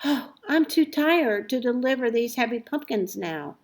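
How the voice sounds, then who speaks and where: Woman acting storybook countrywoman, weary yet determined, grumbling manner, fairly high pitch, very slow delivery. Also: expressive, a little mellow. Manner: grumbling, muttering, exclaiming.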